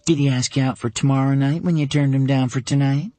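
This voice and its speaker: A man voicing a sharp-witted woman who teases her best friend with dry, quick banter. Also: mid-range voice, fairly even intonation, no room sound, soft background music, pitched above the performer's own voice, lightly acted. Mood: playful.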